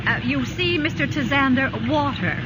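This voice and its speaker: A woman's voice: sits high, moderate pace, natural voice. A woman playing a polite, inquisitive suburban wife in a 1940s radio comedy, putting precise questions. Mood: pointed. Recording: noisy old radio broadcast recording.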